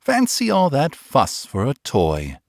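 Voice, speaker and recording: A man voicing a brisk, grumbling woman who runs a nursery, slightly raised and bustling. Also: mid-range voice, wildly swooping pitch, no room sound, studio quality, pitched above the performer's own voice, exaggerated voice.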